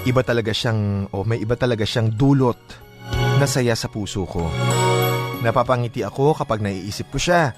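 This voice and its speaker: A man with a Filipino accent hosting a radio show, expressive as he banters and reads aloud. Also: mid-range voice, moderate pace, pauses often, over loud music. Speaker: radio host. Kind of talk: radio banter and letter reading.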